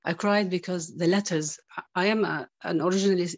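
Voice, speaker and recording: A woman's voice: on the low side, fairly even intonation, fairly quick, dry recording. A woman, native Arabic speaker with an Arabic accent, giving a heartfelt informal thanks as a student on a video call.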